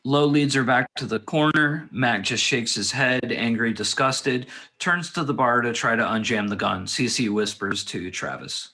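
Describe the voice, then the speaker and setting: A man's voice: medium pitch, normal speed, few pauses, sharp tone. Man reading screenplay stage directions. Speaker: reader of stage directions at a table read.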